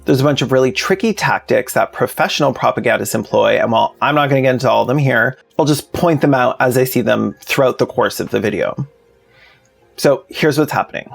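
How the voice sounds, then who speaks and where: Man with a slightly creaky voice, plainspoken commentary. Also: mid-range voice, a little fast, faint music behind. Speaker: video essayist, host. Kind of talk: scripted commentary video with casual asides.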